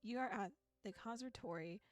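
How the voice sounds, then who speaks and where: A woman voicing an arch, formal female narrator, reading visual novel text in a deliberate, dramatic way. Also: medium pitch, faint music behind, lightly acted. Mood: arch, portentous. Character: arch, ornate female narrator of a dark dream tale.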